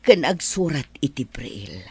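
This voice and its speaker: A woman playing an ailing, grateful teacher in an Ilocano radio drama, with an Ilocano accent and a husky, weary, apologetic delivery. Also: extremely low pitch, big pitch swings, moderate pace, dry recording, exaggerated voice. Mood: weak, earnest.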